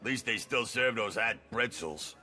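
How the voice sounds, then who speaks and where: A man's voice: lowish voice, normal speed, sharp tone, faint music behind. A man playing a grumbling, disappointed bar regular in a video game, talking casually.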